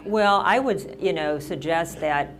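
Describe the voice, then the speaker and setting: A woman's voice: deep voice, measured pace, a little hiss or hum. A middle-aged woman mayor talking casually at a senior center, rambling with lots of restarts and fillers. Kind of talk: casual town-hall talk.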